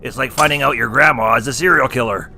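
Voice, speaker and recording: Guy playing a wisecracking clown host, bright tense voice with some grit, faint music behind. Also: mid-range voice, quick pace. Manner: punny, teasing. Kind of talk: scripted comedic review banter.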